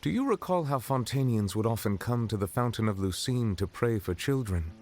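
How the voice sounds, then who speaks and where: An actor playing an ancient dragon sovereign in human form, speaking in a formal, measured, solemn way as he explains a verdict. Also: fairly low pitch, lively intonation, darkish tone, lightly acted. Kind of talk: game character dialogue. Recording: game audio with music behind. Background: music.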